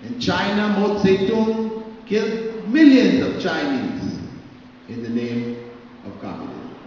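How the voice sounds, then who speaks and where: A middle-aged man giving a public lecture at a podium microphone in a large hall, speaking slowly with long pauses between phrases. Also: high-pitched, expressive, darkish tone, a little hiss or hum. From Canada. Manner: slow, deliberate, pausing between phrases. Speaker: Islamic lecturer. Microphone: podium microphone on stand. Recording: echoey hall, distant, dull audio.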